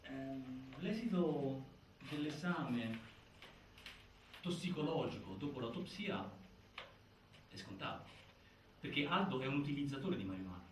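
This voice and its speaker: An actor playing a grave narrator of a prison death inquiry, reciting findings with emphatic delivery and halting pauses in theatrical documentary style. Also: male voice, medium pitch, expressive, close to an everyday voice. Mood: grave, insistent. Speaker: stage actor narrating an investigation. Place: indoor stage, picked up from afar. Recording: distant, echoey, noisy theatre recording.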